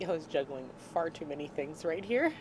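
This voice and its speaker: A woman hosting a casual cooking vlog from a small RV, slightly hoarse and scratchy from a cold, chatty and laughing at her own clumsiness. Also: sits low, lively intonation, moderate pace. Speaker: vlog host. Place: small RV kitchen. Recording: noticeable background noise.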